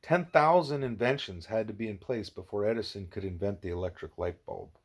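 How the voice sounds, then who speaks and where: A man reading the part of a wise, patient gorilla teacher in an audiobook, explaining in a measured, didactic way. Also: fairly low pitch. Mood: patient, instructive.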